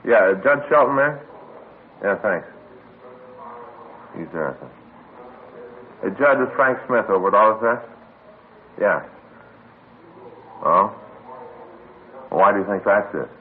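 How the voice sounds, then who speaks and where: Casting: a low-voiced, laconic police partner, tinny vintage radio. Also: male voice, lively intonation, slow pace, long pauses. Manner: laconic, short replies. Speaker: police officer. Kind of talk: 1950s radio drama dialogue. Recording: tinny old radio broadcast, faint hiss.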